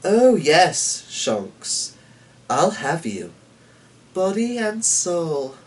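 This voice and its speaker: A man's voice: a little high, sing-song, drawn-out words, voice pushed up, exaggerated voice. A man voicing a taunting, menacing devil in a theatrical, gloating manner for a story reading. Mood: gloating menace. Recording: distant mic with noticeable background noise.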